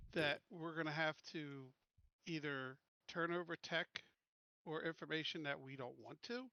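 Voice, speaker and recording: A man's voice: a little high, sharp tone, dry recording, lo-fi sound. A man chatting casually as a tabletop game player, reasoning aloud in an informal way.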